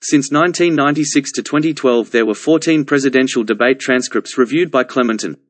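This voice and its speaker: A man reading scripted passages aloud as a model narrator, with careful, measured enunciation. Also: medium pitch, no room sound. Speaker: narrator of exam practice model answers.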